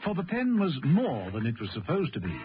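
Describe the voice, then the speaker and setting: A man's voice: a little high, huge pitch swings, brisk, faint music behind. A man playing the wry, dramatic storyteller of a radio serial, delivering scripted narration. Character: male arch storyteller, wryly addressing the hero. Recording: dull radio recording.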